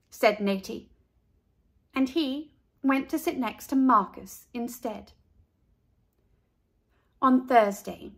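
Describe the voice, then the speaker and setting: A woman narrating a storybook as an expressive read-aloud for children. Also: on the high side.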